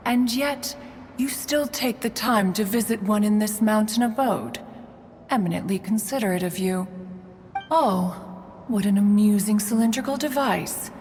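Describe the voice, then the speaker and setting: A woman's voice: medium pitch, a little slow, a little mellow, close to an everyday voice. A woman voicing an aloof elder female crane spirit in a game, speaking in a pompous, archaic way. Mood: haughty. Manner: pompous, archaic, calls herself "one". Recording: reverberant, with game music.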